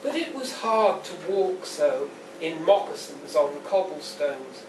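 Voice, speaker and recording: A man speaking as a poet at a live reading, with a deliberate, dry and wry delivery, recorded indoors at a distance. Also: a little high, very animated, a little mellow. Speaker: poet reading at a book launch. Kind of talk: public poetry reading with informal introduction. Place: indoor pub venue at a live launch event. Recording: echoey, noisy live room, distant mic.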